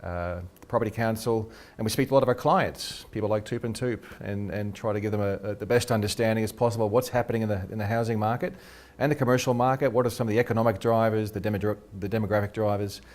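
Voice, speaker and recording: A middle-aged Australian man, a research head being interviewed, talks quickly and eagerly and restarts his sentences a lot. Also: lowish voice, fairly even intonation, a little mellow. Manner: quick, eager, repeats words when starting sentences. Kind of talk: casual interview talk. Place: radio studio.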